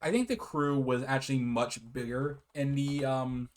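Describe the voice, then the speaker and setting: A man's voice: mid-range voice, steady tone, moderate pace. A man casually hosting a game review, often chuckling as he rambles, backtracks and corrects himself. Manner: hesitant, self-correcting, often repeats and backtracks; chuckles often while talking. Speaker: video game reviewer and host.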